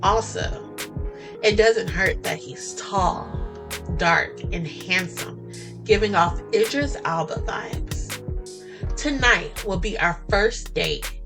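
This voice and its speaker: A woman with a mid-range voice tells a romance story in the first person. Her delivery is expressive, flirty and sassy, like a storytelling podcast, and music plays softly behind her. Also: medium pitch, slow. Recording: music bed under the voice.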